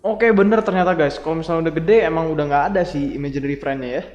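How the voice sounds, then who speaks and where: A woman from Indonesia giving casual, chatty let's-play commentary with quick asides to viewers. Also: low-pitched, fairly even intonation, a little mellow, muffled recording, slight background noise. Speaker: gaming streamer. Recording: echoey room with game music.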